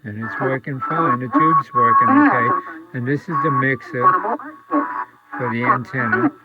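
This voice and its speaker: A man's voice: medium pitch, big pitch swings, measured pace. Man demonstrating through muffled, heavy static noise. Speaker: radio repairer demonstrating an antique radio. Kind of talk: casual hands-on demonstration. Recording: muffled, very noisy, radio static and hum. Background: antique radio static and interference.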